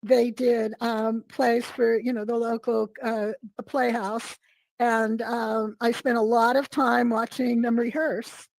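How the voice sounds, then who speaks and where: A middle-aged woman, hoarse from a cold, talking in a rambling way with many fillers as a guest on a video call. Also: on the high side, flat delivery, slow, a little mellow, no echo. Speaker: guest artist. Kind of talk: casual interview chat.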